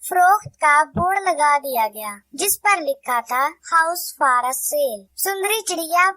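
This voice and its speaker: A woman with a very high voice narrates a cartoon bird story in a calm storybook way. The recording is dry and close, with no room sound. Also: measured pace, no room sound.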